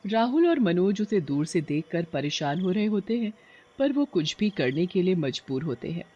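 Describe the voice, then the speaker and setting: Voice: mid-range voice, sing-song, normal speed, warm tone, dull audio. A narrator reading a scripted horror story in a dramatic storytelling style.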